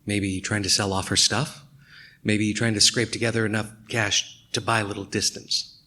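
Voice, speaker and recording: Bright-voiced, brooding radio detective. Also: male voice, lowish voice, level tone. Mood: brooding, measured. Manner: deliberate, poetic, musing. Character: brooding male detective, measured and philosophical. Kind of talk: noir radio mystery acting.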